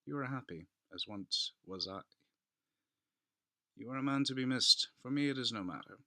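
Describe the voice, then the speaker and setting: A man's voice: mid-range voice, animated, normal speed, bright, forceful tone, dry recording, voice pushed up. A man playing a scheming Italian nobleman in a dramatic audiobook reading, courteous and insinuating with feigned concern. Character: scheming, falsely solicitous Italian nobleman, outwardly polite.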